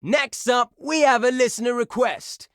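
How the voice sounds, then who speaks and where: An actor playing a brash, excitable heavy metal radio DJ in a video game, announcing songs and listener requests in a punchy, showy style. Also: male voice, extremely high pitch, unhurried, dry recording, clean studio sound. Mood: upbeat.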